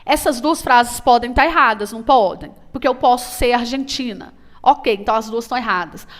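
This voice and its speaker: A woman teaches exam problems in a recorded lesson, her voice sitting a little high. She explains step by step and keeps checking in with the class with little questions. She speaks to the students as friends. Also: a little fast. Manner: explanatory, repeats "tá?" and "beleza?", calls students "amiguinhos". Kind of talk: recorded math and physics lesson.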